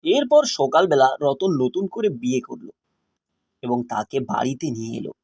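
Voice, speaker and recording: A narrator of medium-pitched voice telling a scripted children's cartoon story. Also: very animated, normal speed, darkish tone.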